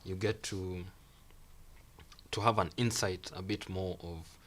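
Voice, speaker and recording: A man's voice: sits low, slow. A young man from Kenya, a student and guest, speaking casually and acting out the reactions he quotes. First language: Swahili. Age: young adult. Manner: relaxed, repeats phrases, acts out quoted reactions. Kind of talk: casual conversational interview. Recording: faint background noise.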